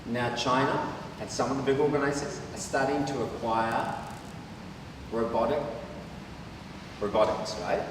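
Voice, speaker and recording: A man's voice: mid-range voice, fairly even intonation, measured pace, long pauses, noisy background. A man with a New Zealand accent giving a conference presentation, chatty and peppering his points with "right?". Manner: conversational, rhetorical tags like "right?". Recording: echoey hall from far off.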